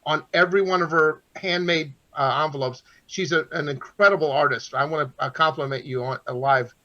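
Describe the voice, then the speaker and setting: A man's voice: fairly high pitch, steady tone, fairly quick, sharp tone. A man hosting a casual video call, friendly and a bit repetitive. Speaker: host. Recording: dull lo-fi call audio.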